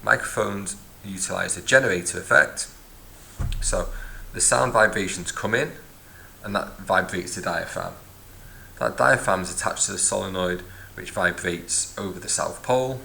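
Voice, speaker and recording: A man's voice: sits low, moderate pace, vocal fry, pushed and bright, a little hiss or hum. A man teaching a physics lesson, explaining step by step and recapping as he writes in a notebook. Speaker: science teacher. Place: at a desk, filming a notebook from above.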